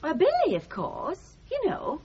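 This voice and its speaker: A woman's voice: extremely high pitch, wildly swooping pitch, a little slow, darkish tone, heavily acted. An actress playing a prim, curious, easily shocked middle-aged married woman in a radio comedy drama. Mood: probing. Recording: muffled, noisy 1970s radio drama recording.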